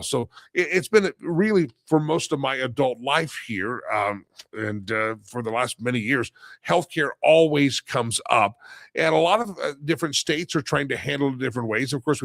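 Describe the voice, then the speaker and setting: A male talk show host rambles through his live stream, slightly nasal from a head cold, dropping fillers as he goes. His voice sits at an ordinary pitch and sounds close and dry, with almost no room around it. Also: gravelly, a bit bright, no room sound.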